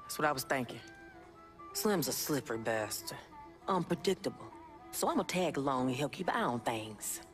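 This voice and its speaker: A woman's voice: very deep voice, big pitch swings, moderate pace. An actress playing a tough, slangy, streetwise young woman activist in a video game drama. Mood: conspiratorial. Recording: game music under dialogue.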